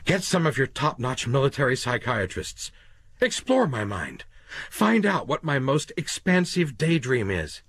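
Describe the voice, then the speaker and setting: A man's voice: medium pitch, huge pitch swings, measured pace, fairly bright tone, husky, no echo, voice pushed up, full character voice. A man voicing a desperate hunted man who pleads for his life in a strained, raised voice.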